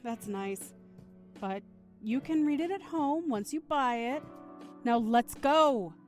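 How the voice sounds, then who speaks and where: A woman's voice: a little high, expressive, takes it slowly, faint music behind. A woman acting a firm, wary, scolding middle-aged mother in an audio drama.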